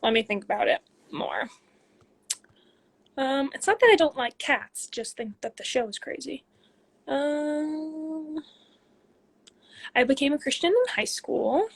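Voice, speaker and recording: A young woman in her twenties talks into her phone from a room at home, reading out questions from viewers and answering them one by one. Her voice sits a little on the high side, and the talk is loose and chatty, full of ums. Now and then she drops into a quiet murmur as she reads the next comment. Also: takes it slowly, gravelly, long pauses. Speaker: athlete hosting a live Q&A. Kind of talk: casual live-stream chat answering viewer questions.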